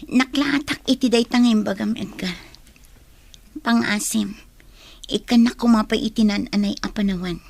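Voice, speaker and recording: A high, youthful female voice from an actress plays an anxious young woman in a radio drama. She is emotive and questioning, in the heightened manner of Ilocano serial acting. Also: normal speed, gravelly, pauses often, dry recording. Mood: hesitant.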